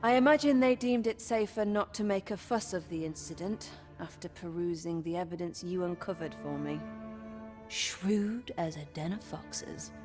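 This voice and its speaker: An actress playing a seductive, vengeful masked madam in a fantasy game, speaking in measured, theatrical, archaic phrases. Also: female voice, on the low side, darkish tone, soft background music, lightly acted. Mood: grateful, intimate. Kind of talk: fantasy video game voice acting.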